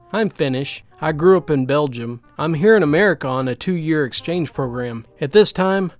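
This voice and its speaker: Animated male storyteller over soft music. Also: fairly high pitch. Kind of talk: scripted narration of online stories.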